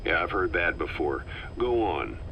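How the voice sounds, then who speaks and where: Game role: reserved soldier, very deep, dry, probing, heard on dull tape. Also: male voice, expressive, a little slow. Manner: terse, dry, low-key questioning. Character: reserved, inquisitive male soldier questioning an elder. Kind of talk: dramatic video game dialogue. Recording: dull cassette tape with faint noise.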